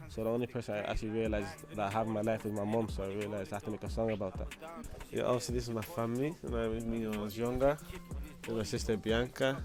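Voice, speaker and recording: A man, talking about his life as a rapper in a loose, chatty way. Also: lowish voice. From Angola. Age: 20s. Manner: loose and chatty, leaning on "you know what I mean" and "obviously". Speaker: rapper telling his story. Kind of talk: casual documentary talk.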